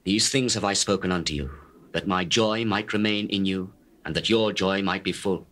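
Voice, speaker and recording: A grave male teacher speaking to his followers in a dramatized scripture recording. His voice is fairly low, with a bright, forceful tone. The delivery is measured and declamatory, in solemn archaic English. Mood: solemn, instructing. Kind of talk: dramatized King James scripture reading.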